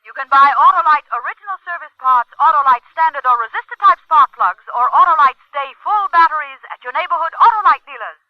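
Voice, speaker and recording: Very high-pitched male radio ad announcer. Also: lively intonation, fairly quick, few pauses. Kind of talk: scripted 1950s radio advertisement. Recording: dull old radio recording.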